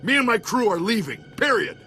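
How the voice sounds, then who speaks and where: An actor playing a panicky, defiant construction crew boss in a video game. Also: male voice, high-pitched, big pitch swings, a little fast, pushed and bright, faint music behind, exaggerated voice. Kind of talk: scripted game cutscene dialogue.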